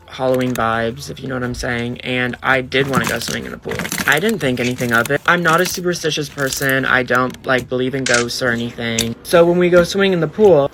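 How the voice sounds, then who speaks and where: A man's voice: mid-range voice, noisy background. A man telling a personal story casually and emphatically, with conversational slang. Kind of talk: casual first-person storytelling for social video.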